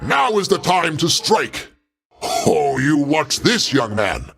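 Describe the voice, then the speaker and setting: Older male god, proud and paternal, theatrical game voice, pompous old-fashioned diction, extremely animated intonation. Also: a little high, slow pace, vocal fry, soft background music, exaggerated voice. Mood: wrathful. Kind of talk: theatrical video game voice lines.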